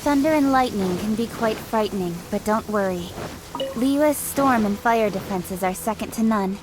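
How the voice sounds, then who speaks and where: An actress playing a composed, reassuring woman in a video game, speaking in a measured way. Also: medium pitch, background noise, close to an everyday voice. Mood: calm, wry. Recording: loud rain and thunder behind.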